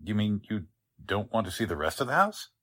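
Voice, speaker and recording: A man's voice: fairly low pitch, very animated, fairly quick, a bit bright. Man playing a chatty small-town doctor, swooping intonation. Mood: hesitant. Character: genial, chatty small-town male doctor, easygoing, slightly theatrical. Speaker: actor in a radio drama. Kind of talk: 1940s radio drama acting.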